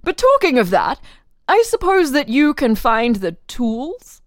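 A woman's voice: high-pitched, big pitch swings, unhurried, no echo, studio quality. A woman playing a greedy, cocky male thief in a dramatic reading, with a theatrical, insinuating delivery. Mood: scoffing, confident. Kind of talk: dramatic reading of an old crime tale.

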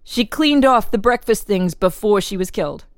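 A woman's voice: medium pitch, expressive, normal speed, no echo, studio quality, natural voice. A woman playing an official interrogator who puts formal, leading questions in a dramatic reading of a transcript. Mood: probing, formal. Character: probing, insistent official interrogator questioning a murder suspect.

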